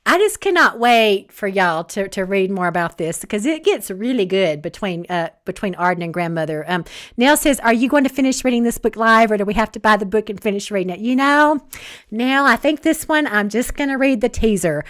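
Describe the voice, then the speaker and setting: A woman with a Southern accent from Alabama, an author chatting casually on a livestream and reading out viewer comments, rambling with many pauses and restarts. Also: medium pitch, expressive, a bit bright. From Northeast Alabama. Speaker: author hosting a live book chat.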